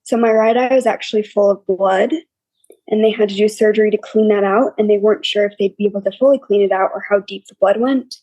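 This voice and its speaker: A young woman with a scratchy, quiet voice, openly telling her story as an interview guest in a plain, matter-of-fact way. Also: medium pitch, fairly even intonation, fast, no room sound. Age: young adult. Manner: open and matter-of-fact, listing hardships plainly. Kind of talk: candid personal storytelling.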